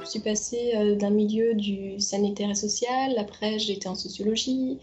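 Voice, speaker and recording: A woman's voice: medium pitch, steady tone, normal speed, music in the background. Hesitant young woman with fillers. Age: 20s. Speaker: former trainee, now AI developer. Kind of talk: testimonial interview.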